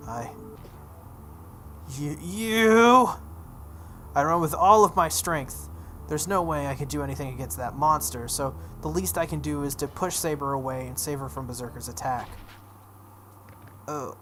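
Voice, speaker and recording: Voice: mid-range voice, pushed and bright, a little hiss or hum. A let's play host reading visual novel text aloud dramatically, breaking off into casual reactions.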